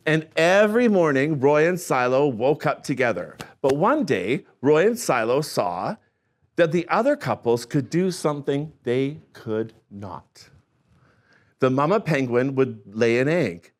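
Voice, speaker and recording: A man reading a picture book aloud to children in an animated, expressive storytime manner with playful asides. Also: mid-range voice. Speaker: storytime reader.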